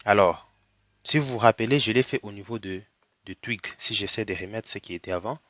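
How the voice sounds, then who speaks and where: A man's voice: on the low side, fairly bright tone, dry recording. A man with a cold teaching a coding tutorial step by step, often checking in with "ok?". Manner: slow, step-by-step, frequent "ok?" checks. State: has a cold. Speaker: programming tutor. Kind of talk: screen-recorded coding tutorial.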